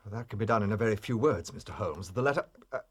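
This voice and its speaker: An actor playing an anxious, proper government minister with an upper-class English accent, earnest and formal, in a period drama. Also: male voice, lowish voice, expressive, fast talker, husky. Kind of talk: British period detective drama acting.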